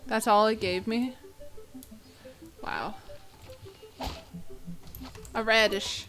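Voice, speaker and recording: A woman streamer narrates her game to herself in brief, offhand remarks. Her voice is a bit high and the pace is slow and unhurried, with faint music behind it. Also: steady tone, a bit bright. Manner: talks to herself in short reactions, offhand. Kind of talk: casual gameplay commentary.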